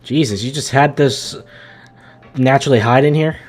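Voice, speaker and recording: Casual male game streamer, mid-pitched. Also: normal speed. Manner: informal, joking, reacting aloud to the game. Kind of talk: casual live-stream commentary. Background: game music.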